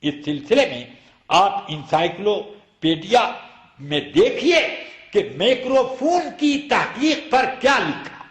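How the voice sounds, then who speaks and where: A man's voice: sits high, expressive, slow. A man preaching as an Islamic scholar, explaining and arguing a ruling emphatically with rhetorical questions. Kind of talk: Islamic sermon, explanatory and argumentative. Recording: echoey room sound.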